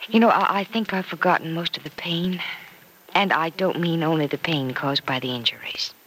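An actress playing a warm, earnest young woman in an old radio soap opera, speaking with sighs, hesitations and small exclamations. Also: sits low, moderate pace. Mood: wistful. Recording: noisy vintage radio drama recording.

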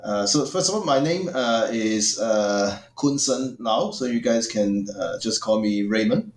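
Man, lowish voice, halting formal speech, lots of fillers, roomy echo. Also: a little hiss or hum. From Hong Kong. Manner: hesitant, many "uh" fillers, formal and polite. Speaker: guest author, political science professor. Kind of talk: podcast interview.

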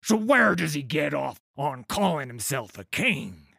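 A big, cheerful troll king talks bluntly and slowly, as a man puts him on. His voice is unhurried and dry, and it rises and falls a great deal in a storybook reading. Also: on the high side, very animated, gravelly, pushed and bright, husky, no room sound, pitched above the performer's own voice, heavily acted.

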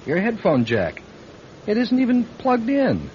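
An actor playing a deferential, concerned airline copilot in a classic radio drama. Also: male voice, sits high, wildly swooping pitch, measured pace, exaggerated voice. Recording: dull, noisy old radio recording.

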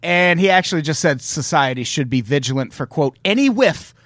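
A man's voice: a little high, expressive, brisk, a bit bright, no room sound, studio quality. A man hosting a casual comedy chat, bringing up stories and reading out quotes. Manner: leads topics, reads out quotes. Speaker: podcast host reading news stories.

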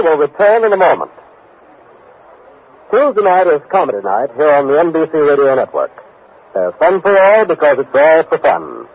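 A man's voice: fairly high pitch, breathy edge. An American man working as a 1950s network radio announcer, reading scripted copy in a formal promotional style. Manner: formal, polished promotional delivery. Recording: tinny, narrow-band old radio recording.

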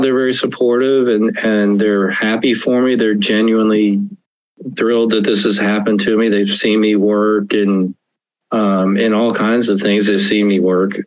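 Earnest middle-aged male author, lowish voice, dry close recording, chuckles a lot. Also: no echo, poor audio. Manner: earnest, chuckles often, restarts. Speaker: author guest. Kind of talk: conversational interview.

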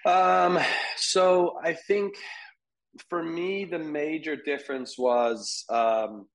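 A man in his thirties, from Ireland, talking casually as an interview guest, rambling with fillers and soft laughs. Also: a little high, fairly even intonation, very slow, rough recording. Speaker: guest, furniture company CEO.

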